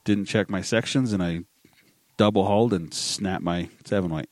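A man's voice: sits low, normal speed, darkish tone, no echo. A man co-hosting a casual podcast, enthusiastic and calling everyone "dude". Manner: enthusiastic, says "dude" a lot. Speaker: podcast co-host. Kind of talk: casual chat.

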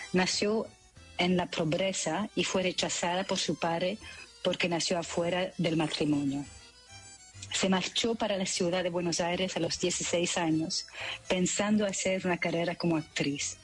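Woman, careful and measured. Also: lowish voice, faint music behind. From Belgium. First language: French. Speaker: guest choreographer. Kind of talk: radio interview.

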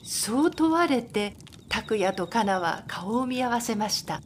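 A woman's voice: a little high, lively intonation, measured pace, slight background noise. A woman reading scripted narration for a radio drama.

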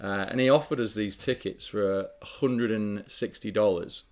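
A man co-hosting a casual podcast, telling a story in a relaxed, chatty way with frequent fillers. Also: on the low side, normal speed. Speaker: podcast co-host. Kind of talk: casual chat.

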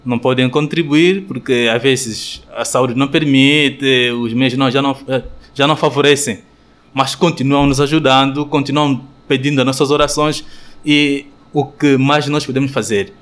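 Angolan guy, a friar, talking it through slow n careful with lots of ums, mid voice. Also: medium pitch, fairly even intonation, fairly bright tone. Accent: Angolan Portuguese. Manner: thoughtful, hesitant, many pauses and fillers. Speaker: friar, guest. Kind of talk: radio interview answer.

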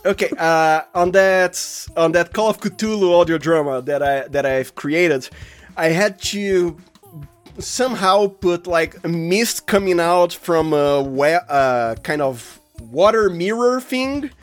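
A Brazilian man with a slightly high voice talks casually as a podcast guest, with music around the recording. He hesitates often, doubling back on the starts of words as he explains his creative work. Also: lively intonation. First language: Portuguese. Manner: hesitant, halting with repeated word starts. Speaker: podcast guest, sound designer and voice actor. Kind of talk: casual interview chat.

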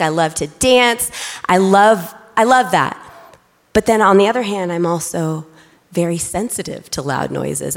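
A young adult woman preaching a lively, excitable sermon to her congregation from a church stage. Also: lowish voice. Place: large church auditorium. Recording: reverberant church hall.

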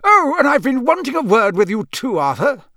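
Man playing a high, clipped elderly wizard official. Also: sing-song, bright, forceful tone, dry recording, studio quality, heavily acted. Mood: brisk, formal. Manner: brisk, formal, curt. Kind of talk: audiobook character voice.

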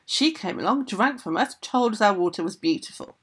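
A woman's voice: mid-range voice, expressive, brisk, husky. A woman voicing aggrieved enchanted things that reproach their mistress in a storytale. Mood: indignant, reproachful. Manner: indignant, reproachful. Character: aggrieved enchanted household things and servants refusing orders.

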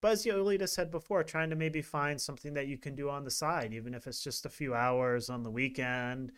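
Brief: male podcast co-host, rambling casual talk, smooth clear voice. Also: mid-range voice, fairly quick. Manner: relaxed, rambling with many fillers. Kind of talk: casual chat.

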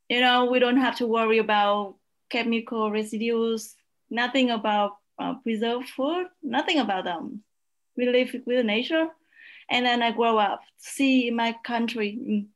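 A woman from Vietnam with a Vietnamese accent, a non-native English speaker, telling her life story earnestly as a guest, with hesitant "uh" pauses. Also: a little high. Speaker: PhD student guest. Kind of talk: personal storytelling in interview.

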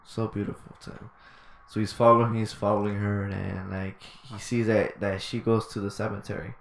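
A man's voice: fairly low pitch, level tone, normal speed, vocal fry, echoey room, slight background noise. A man hosting a podcast, telling a story in a laid-back casual way with lots of fillers and swearing. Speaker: podcast host.

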